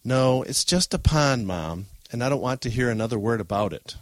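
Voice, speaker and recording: A man's voice: medium pitch, lively intonation, moderate pace, no room sound, lightly acted. A man voicing a practical, no-nonsense middle-aged mother in an audiobook, firm and matter-of-fact. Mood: stern. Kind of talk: audiobook character voice.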